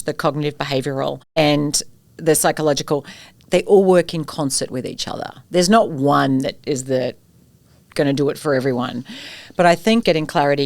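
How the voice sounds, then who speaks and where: Australian woman, middle-aged, low pitch, dry recording, animated storyteller. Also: no echo. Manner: animated storyteller, rhetorical questions. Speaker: guest expert on courage. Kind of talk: conversational interview.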